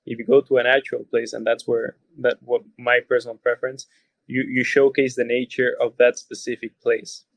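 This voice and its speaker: A man's voice: medium pitch, little pitch movement, normal speed, creaky, no echo, poor audio. A man from Costa Rica with a Spanish accent, answering interview questions thoughtfully and often repeating words as he searches for phrasing. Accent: Costa Rican Spanish. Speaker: podcast guest, hotelier.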